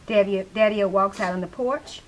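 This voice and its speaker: A woman's voice: mid-range voice, moderate pace, a little hiss or hum, rough recording. A woman narrating a play by reading its stage directions aloud from a script.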